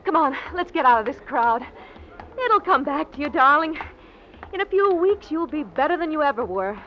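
An old radio drama wife, devoted and anxious, with a high voice that swings freely. She speaks in tender, halting, pleading phrases. The recording is dull and a little noisy. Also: expressive. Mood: eager, loving.

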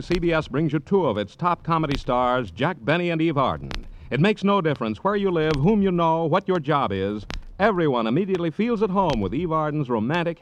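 A man reading scripted announcements in the polished style of mid-century American network radio. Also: on the high side. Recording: faintly noisy vintage radio broadcast.

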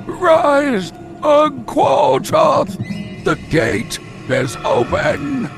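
Mad cultist, very high creaky voice, dragging pace, theatrical ranting, old-time radio drama, music behind. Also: male voice, wildly swooping pitch, drawn-out words, full character voice. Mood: exultant. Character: crazed, fanatical male cult priest of a dark god.

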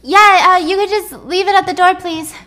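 A woman's voice: on the high side, very animated, normal speed, fairly bright tone. A woman voicing a wary female character in a story retelling, hesitant and polite as she refuses.

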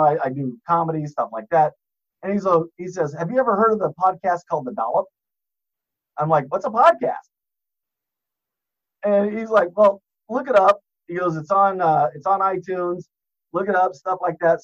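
A man's voice: high voice, dull audio. A man on a podcast as a guest, telling rambling, profane stories and laughing at his own jokes. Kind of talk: casual podcast chat, storytelling.

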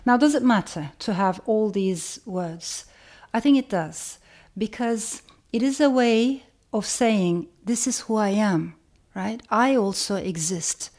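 A woman from Turkey giving a reflective educational talk, speaking in a measured way and repeating phrases for emphasis. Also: mid-range voice, lively intonation, a little mellow.

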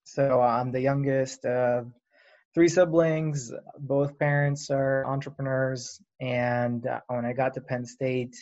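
A man from Lebanon, a startup founder, answering interview questions in an unhurried, reflective way with frequent fillers. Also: medium pitch, little pitch movement, a little mellow. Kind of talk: casual podcast interview. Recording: dull lo-fi audio.

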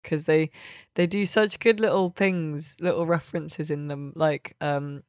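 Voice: mid-range voice, fairly even intonation, unhurried, no echo, studio quality. A podcast host chatting casually about video games, sweary, wry and joking.

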